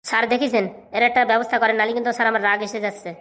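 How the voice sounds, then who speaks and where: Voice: mid-range voice, level tone, rapid-fire, bright, forceful tone, natural voice. A performer voicing a cocky, touchy overgrown schoolboy in a comic cartoon, boastful, with a rural Bengali dialect. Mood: touchy, annoyed. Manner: boastful, rattling off answers.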